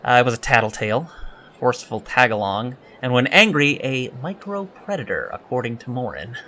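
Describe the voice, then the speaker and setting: A man's voice: mid-range voice, very animated, a little fast, pushed and bright, a little hiss or hum. A man playing an eager, emotional young alien cadet in an audio drama interview, hesitant, polite and self-deprecating. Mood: animated, candid.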